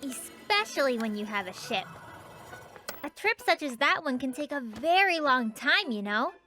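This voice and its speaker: A woman voicing a patient, knowing young girl who tells stories in an explanatory way in an animated children's series. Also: high voice, normal speed, heavily acted. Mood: knowing, instructive. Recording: music behind the voice.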